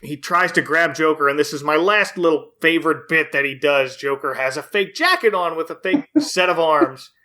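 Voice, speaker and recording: A man with a fairly high, very bright and forward voice hosts a casual podcast. He recaps with amused enthusiasm, in the loose manner of a chat among friends. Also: animated, moderate pace, few pauses. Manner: enthusiastic, amused, laughs often while talking. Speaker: podcast host.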